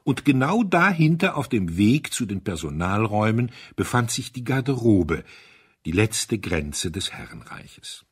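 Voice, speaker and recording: A man with a fairly low, husky voice narrates a literary tale. His delivery is deliberate and measured, placing expressive emphasis on phrases as a practiced audiobook reader does.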